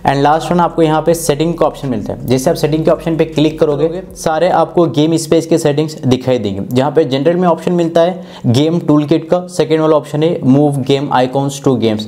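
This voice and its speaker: A man in his twenties with an Indian accent speaks in a fairly high but soft, mellow tone. He explains phone features in an instructional, step-by-step way, as the host of a tech review. He is close on a clip-on mic. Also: moderate pace. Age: 20s. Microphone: clip-on lapel mic.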